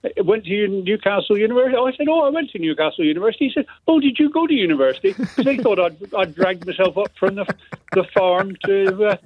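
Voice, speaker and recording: A man's voice: sits high, animated, fast talker, no echo. A middle-aged man with a West Country accent calls in to a radio phone-in and tells an anecdote in a rambling way, laughing at himself. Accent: West Country English. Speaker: caller. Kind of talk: phone-in call. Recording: tinny phone line.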